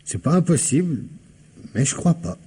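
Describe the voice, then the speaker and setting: A man's voice: on the high side, expressive, moderate pace, dark tone, husky. A man acting as a patient, doggedly suspicious police investigator in a French radio mystery, speaking conversationally and insistently. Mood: pensive, suspicious. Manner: conversational, questioning, hesitant and insistent.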